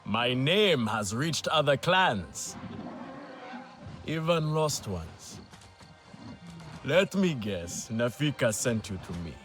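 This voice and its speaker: A man's voice: mid-range voice, big pitch swings, slow pace, pauses often, fairly bright tone. A man playing a proud, boastful young alien hunter with a cocky, grandiose manner in a game cutscene, music behind. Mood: boastful, dismissive. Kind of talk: video game cutscene acting.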